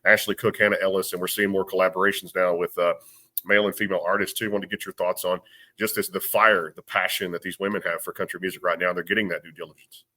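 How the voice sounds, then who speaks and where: A man's voice: deep voice, little pitch movement, fast, bright, forceful tone. A man hosting a radio interview, upbeat and eager, saying "y'all" and promoting the guest's work. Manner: upbeat, enthusiastic, plugs the show. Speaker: radio show host.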